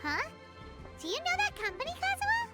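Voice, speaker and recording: A small floating fairy companion from an anime game. The voice is extremely high and childlike, swinging eagerly up and down with perky cheer. Prominent music plays behind it. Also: female voice, huge pitch swings, brisk, exaggerated voice. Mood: surprised, wondering. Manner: perky, talks of self in third person.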